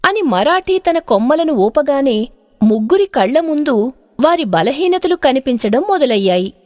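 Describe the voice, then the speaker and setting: A woman narrator tells a folk tale in dramatic storybook style. Her voice is high with wide, animated swings in pitch. The recording is tight and dry. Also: moderate pace, dry recording.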